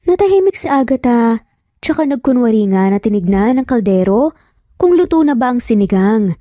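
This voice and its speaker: A woman's voice: on the high side, very animated, moderate pace, dark tone, dry recording, clean studio sound. A Filipino woman reading a scripted romance story as a dramatic narrator.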